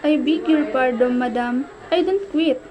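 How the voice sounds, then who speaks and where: High-voiced, formal switchboard operator played by a woman. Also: measured pace. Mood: politely apologetic. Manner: formal, procedural, polite. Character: polite, formal female telephone switchboard operator. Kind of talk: amateur student radio play acting.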